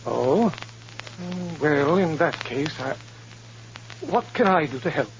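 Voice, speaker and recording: A man's voice: a little high, very animated, slow pace, vocal fry, exaggerated voice. An actor playing an elderly, hesitant, fussy male college professor in an old radio drama. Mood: warming, curious. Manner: hesitant, fussy, repeating himself. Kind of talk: 1950s radio drama acting. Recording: muffled, noisy old radio recording.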